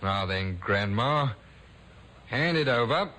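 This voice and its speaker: An actor playing a smooth-talking young handyman conman with glib, folksy patter, in 1950s radio drama style. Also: mid-range voice, animated, slow pace, pushed and bright. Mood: menacing. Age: young adult. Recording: noisy old 1950s radio recording.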